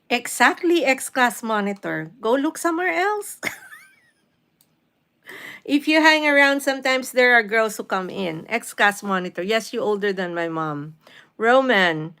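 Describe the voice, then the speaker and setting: A woman's voice: fairly high pitch, animated, measured pace, pushed and bright. A woman of fifty-nine with a Filipino accent hosts a casual livestream, reading out and answering viewer comments in a motherly, encouraging way and sometimes switching between English and Tagalog. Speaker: livestream host.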